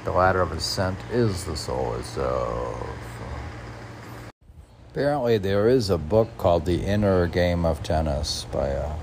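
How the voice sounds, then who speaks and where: A man reading his own poetry and adding rambling casual asides in a musing, halting manner. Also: low-pitched, expressive, darkish tone, slight background noise.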